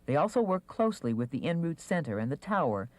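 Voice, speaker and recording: A narrator reading a scripted instructional piece in a measured, clearly enunciated, explanatory way. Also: medium pitch, sing-song, a little mellow, no room sound. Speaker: training video narrator.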